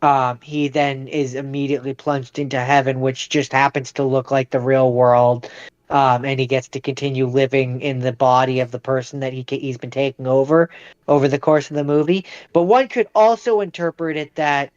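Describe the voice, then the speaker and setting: A man's voice: mid-range voice, flat delivery, normal speed, clear, resonant tone, no echo. A man co-hosting a podcast, casually explaining films and going back over his points, on slightly dull audio. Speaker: podcast co-host. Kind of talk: casual film discussion.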